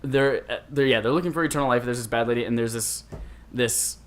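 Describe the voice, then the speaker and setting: A man's voice: medium pitch, fairly even intonation, fast. A man co-hosting a gaming podcast in casual, conversational chat with friends. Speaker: podcast co-host.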